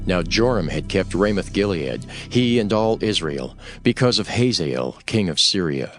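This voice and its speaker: A man's voice: low voice, animated, moderate pace, fairly bright tone. A man narrating scripture as a solemn storyteller in a dramatized reading. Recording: music under the voice.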